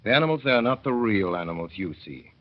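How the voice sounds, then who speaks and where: A man narrating a 1930s radio drama as a father, in a wry, mournful storytelling manner that slows to dwell on sad images. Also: lowish voice, animated. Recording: dull old radio broadcast.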